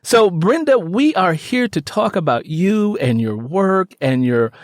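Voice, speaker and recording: A man's voice: high-pitched, big pitch swings, unhurried, no room sound, studio quality. A man hosting a podcast interview in an effusive way, often repeating his phrases. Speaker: podcast host.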